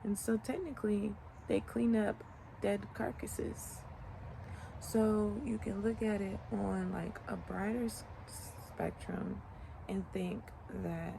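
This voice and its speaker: A woman's voice: medium pitch, slow, noisy background. A woman in her late twenties chatting casually and reflectively outdoors in the country, rambling with lots of fillers. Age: late 20s. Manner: relaxed, rambling, many "like" fillers. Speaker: vlogger. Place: outdoors on a sunny morning in the country. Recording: clear outdoor ambience behind.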